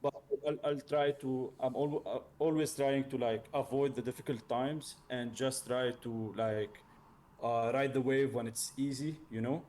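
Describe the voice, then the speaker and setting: A man from Lebanon, speaking haltingly and casually as a learner in a mentoring chat. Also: medium pitch, fairly even intonation, darkish tone. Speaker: learner trader, mentee.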